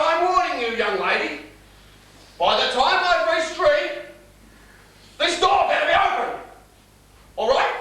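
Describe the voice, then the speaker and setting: Casting: man playing a blustering, exasperated bride's father in stage farce, very high bright voice, melodramatic delivery. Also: full character voice. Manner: loud, blustering, exasperated, theatrical. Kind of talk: live comic stage play acting. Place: live stage in a small theatre. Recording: echoey theatre stage, distant mic, faint noise.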